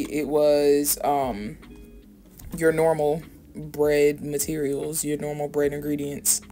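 A woman's voice: sits low, dark tone. An American woman giving a casual, chatty voice-over for a home cooking vlog, informal and slangy. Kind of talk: casual voice-over narration of a recipe. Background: background music.

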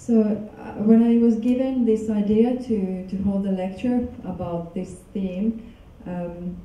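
A woman from Finland giving a public lecture in a large hall, talking in a halting, casual way with many fillers and restarts. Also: medium pitch, steady tone, warm tone, highs cut off. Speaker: guest lecturer. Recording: echoey hall from far off.

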